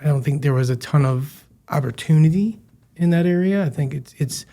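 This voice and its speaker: A man's voice: fairly high pitch, fairly quick, warm tone. Chatty self-deprecating male guest. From western New York, USA. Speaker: guest, chef and business founder. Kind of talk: casual interview chat.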